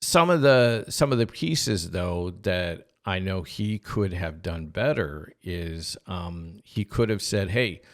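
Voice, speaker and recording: Middle-aged man, low voice, casual podcast storytelling, halting delivery, voices quoted dialogue. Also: animated. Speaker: podcast co-host telling a personal story.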